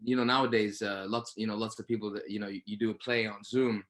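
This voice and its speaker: A man's voice: on the low side, little pitch movement, fast, fairly bright tone. A man speaking earnestly and emphatically as an actor in a post-show Q&A, often repeating phrases as he reflects. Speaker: actor, interview guest.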